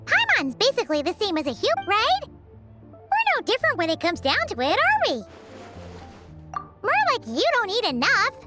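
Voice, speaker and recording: A woman voicing a small, chatty, childlike fairy companion in an animated video game style. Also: extremely high pitch, normal speed, long pauses, loud music behind the voice, exaggerated voice. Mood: anxious, insistent. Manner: speaks of herself in the third person.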